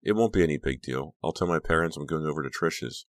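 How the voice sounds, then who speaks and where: A man voicing a shaken, guarded high-school girl in an audiobook, hesitant and strained as if holding back feeling. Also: fast, no room sound, studio quality. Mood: fragile. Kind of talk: audiobook character dialogue.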